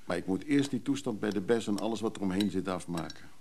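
An actor playing a weary, protective man who is somewhat overwhelmed, in naturalistic radio drama dialogue, with a gravelly voice. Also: on the low side, fast talker, a little mellow, a little hiss or hum, lightly acted.

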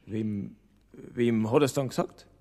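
An actor playing an eager, excitable helper to an inspector, with a Bavarian accent, in a radio crime drama full of reverberation. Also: male voice, mid-range voice, slow. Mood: questioning. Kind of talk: German radio crime drama acting in dialect. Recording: long hall-like reverb.

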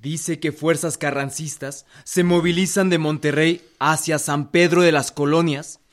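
A man's voice: on the high side, steady tone, measured pace, natural voice. A man playing a dutiful military aide delivering a report in a radio drama. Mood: businesslike.

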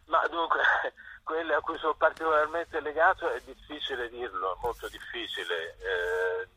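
A man's voice: medium pitch, fairly even intonation, moderate pace, sharp tone, husky. A middle-aged man answers interview questions conversationally, hesitating and repeating himself as he searches for words. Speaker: interviewed visual artist. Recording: dull, telephone-like radio sound.

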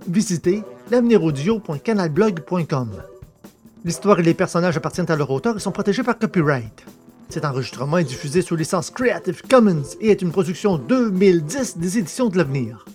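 A man's voice: sits high, expressive, fairly quick, a little mellow. A man presenting an audio drama, reading scripted credits as an announcer. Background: music.

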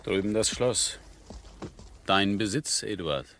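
An actor playing a sober, dutiful army major who speaks in measured, weighty phrases in a 1970s German radio drama. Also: male voice, fairly low pitch, expressive, a bit bright, slight background noise, full character voice. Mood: concerned.

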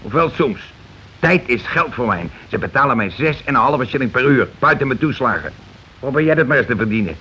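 An actor in a Dutch radio play playing a middle-aged, self-important working-class husband who complains and blusters sarcastically. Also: male voice, medium pitch, animated, brisk, sharp tone. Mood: indignant blustering. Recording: muffled, hissy old radio recording.

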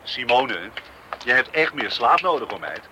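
An actor playing an earnest, quietly persistent police detective in a naturalistic radio play, serious and matter-of-fact. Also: male voice, mid-range voice, big pitch swings, normal speed, lightly acted. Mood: businesslike. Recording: noisy radio play recording.

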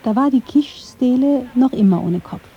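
A woman's voice: on the high side, expressive, moderate pace, warm tone, lo-fi sound, a little hiss or hum. A woman reading scripted narration for a radio documentary.

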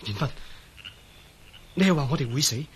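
A man's voice: on the high side, expressive, moderate pace, slight background noise, close to an everyday voice. An actor playing a desperate, horrified man in a Cantonese radio drama, overwrought and pleading, with a Hong Kong accent. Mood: pleading, fearful. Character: anguished, desperate man horrified at becoming a living skeleton.

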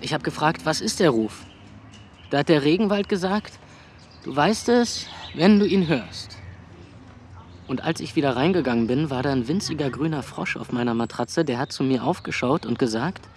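An actor playing a cynical young would-be novelist in a radio drama who tells stories in a dry, sardonic way. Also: male voice, fairly high pitch, animated, normal speed, slight background noise, close to an everyday voice. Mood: musing.

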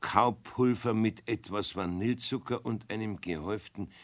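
An actor playing an older, good-natured but grumbling master carpenter with a Bavarian accent in a children's radio play. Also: male voice, on the low side, moderate pace, natural voice. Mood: instructing. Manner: grumbling, sighing.

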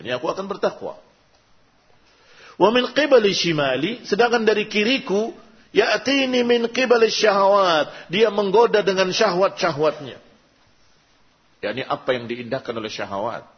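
A man with an Indonesian accent giving an Islamic sermon, teaching in short measured phrases as he explains a religious text line by line. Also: sits high, lively intonation. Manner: didactic, reciting quotations then translating and repeating in short measured phrases. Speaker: Islamic preacher. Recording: dull, lo-fi sound.

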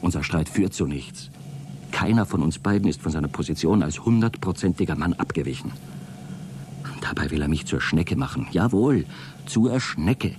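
An actor playing an aggrieved, suspicious space traveller dictating a pompous, wordy log in a radio drama. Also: male voice, low voice, animated, moderate pace, darkish tone, slight background noise. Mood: defiant, indignant. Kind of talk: radio drama monologue, intimate log entry.

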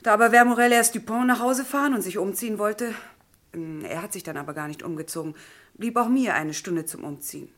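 A woman's voice: medium pitch, a little fast, a bit bright, lightly acted. An actress playing a candid, somewhat flustered young woman giving her account in a German crime radio play.

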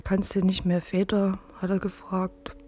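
An actress plays a fragile woman in her early thirties in a radio drama. Her medium-pitched voice is hesitant and weary, stumbling over words and often thinning into a breathy murmur. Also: monotone, warm tone, lightly acted. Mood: hesitant, wistful. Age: 30s. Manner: halting, stammering, often trailing into breathy murmurs. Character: fragile, weary, withdrawn woman of thirty-two working night shifts. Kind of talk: German radio drama acting.

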